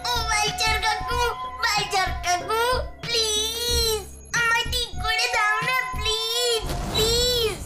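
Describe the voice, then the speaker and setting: A woman voicing a tiny, helpless transformed child in a cartoon, squeaky, whiny and pleading. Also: fairly even intonation, takes it slowly. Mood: desperate pleading. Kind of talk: cartoon character acting. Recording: echoing, loud music over the voice.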